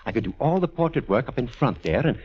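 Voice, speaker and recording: Fussy, swooping, excitable arty photographer. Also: male voice, mid-range voice, fast talker, breathy edge. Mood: eager. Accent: American. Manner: theatrical, effusive, swooping delivery. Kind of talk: 1940s American radio acting. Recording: muffled vintage 1940s radio recording.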